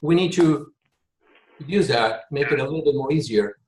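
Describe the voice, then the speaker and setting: A man's voice: fairly high pitch, moderate pace, darkish tone, a little hiss or hum. A man from Peru, talking earnestly in a video call meeting. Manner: earnest, repeats words when emphasizing. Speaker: volunteer consultant. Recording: echoey room.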